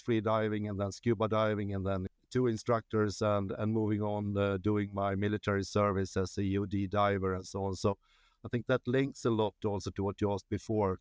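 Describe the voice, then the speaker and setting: A man from Sweden, answering interview questions in a halting, plainspoken way with many fillers. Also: on the low side, a little mellow, clean tone, dry recording, studio quality. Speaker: company CEO, interview guest. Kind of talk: conversational interview.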